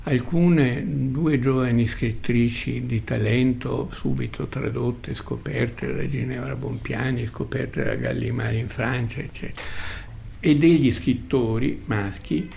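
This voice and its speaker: An older man reminiscing as a radio host, speaking in a halting, reflective way with repetitions and long hesitations. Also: mid-range voice, steady tone, vocal fry, darkish tone, slight background noise. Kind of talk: spoken reminiscence, literary commentary.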